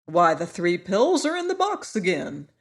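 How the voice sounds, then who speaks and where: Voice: medium pitch, huge pitch swings, measured pace. A storyteller voicing a kindly scarecrow who speaks in a mild, reassuring way. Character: kindly, cheerful male scarecrow.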